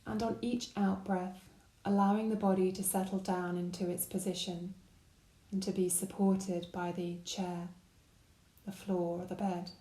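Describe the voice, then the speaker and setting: A woman guiding a body scan meditation with gentle, calm, unhurried instruction. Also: lowish voice, reverberant, low-quality recording. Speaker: meditation guide.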